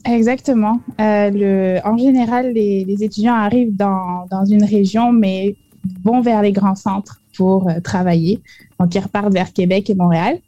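Mellow-voiced young woman with Quebec-tinged French accent. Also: medium pitch, steady tone, normal speed, no echo, muffled recording. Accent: French with Quebec influence. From France. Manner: hesitant, many fillers and restarts. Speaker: guest. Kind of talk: interview.

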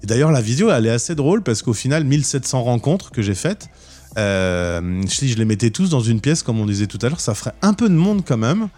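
A man's voice: medium pitch, very animated, a little fast, dark tone. A man hosting a radio show, chatting casually in an interview with enthusiasm and frequent stammered restarts. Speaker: radio host. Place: radio studio.

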